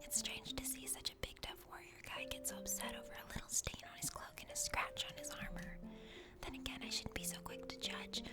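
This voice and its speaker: A woman ASMR creator narrating a visual novel in a breathy whisper, close to the microphone. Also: fairly quick, over loud music. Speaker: ASMR creator playing a game.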